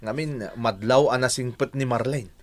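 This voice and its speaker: An actor playing a headstrong, easily smitten young bachelor in a radio drama, speaking with a Filipino accent. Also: male voice, medium pitch, normal speed, darkish tone, a little hiss or hum, natural voice. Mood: smitten, excited. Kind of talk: Ilocano radio drama acting.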